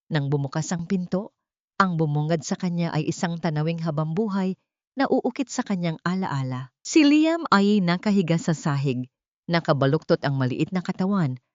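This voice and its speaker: Lady reading a drama audiobook, deep voice, kinda muffled sound. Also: a little mellow, dry recording. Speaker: audiobook narrator. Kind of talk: dramatic scripted story narration.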